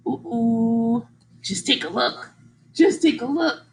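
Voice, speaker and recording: Female children's read-aloud teacher: slow, very expressive delivery with big swings in pitch, asks the listeners questions. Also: slight background noise. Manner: animated, exaggerated emphasis, engaging listeners with questions.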